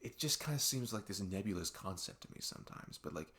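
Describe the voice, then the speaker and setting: A young man playing a best friend who opens up in an intimate ASMR deep talk, rambling and halting, earnest and reflective. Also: expressive, fairly bright tone. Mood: reflective, candid. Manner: rambling, halting, reflective, frequent "like" and "you know". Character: earnest male best friend opening up late at night. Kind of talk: intimate ASMR audio roleplay, unscripted-sounding deep talk.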